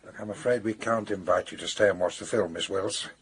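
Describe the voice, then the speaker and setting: Very husky, earnest police investigator. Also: male voice, fairly low pitch, fairly quick, heavily acted. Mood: courteous. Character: keen, courteous male police investigator. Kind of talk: radio drama acting.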